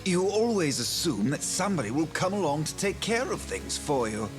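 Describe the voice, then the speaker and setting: A man's voice: fairly high pitch, big pitch swings, moderate pace. A man voicing a blunt frog who mentors a princess in a fantasy game, sternly lecturing. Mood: stern scolding. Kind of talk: dramatic fantasy game voice acting. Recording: music behind. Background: music.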